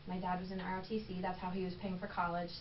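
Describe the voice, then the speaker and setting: A woman's voice: lowish voice, completely flat delivery, quick pace. A woman talking casually as a podcast guest, chatty and candid with plenty of ums. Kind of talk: casual conversational interview. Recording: echoey room, distant mic, dull sound, faint noise.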